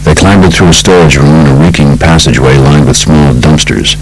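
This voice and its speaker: A man with an American accent reading a true crime audiobook in a measured, polished storytelling manner. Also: extremely low pitch, over music, low-quality recording. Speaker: audiobook narrator. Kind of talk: scripted narration of true crime book.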